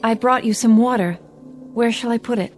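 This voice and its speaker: Gentle, high-voiced robot woman, played by an actress. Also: normal speed, soft background music, natural voice. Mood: caring. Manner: polite, earnest, inquisitive, simply phrased. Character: gentle, naive female humanoid robot, newly activated. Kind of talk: science fiction audio drama acting.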